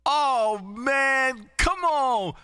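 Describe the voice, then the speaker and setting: A loud, exuberant man chatting as an amateur radio livestream host, emphatic and prone to repeating phrases. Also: extremely high pitch, animated, drawn-out words, noisy background. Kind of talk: ham radio contact chat. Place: indoor home radio room.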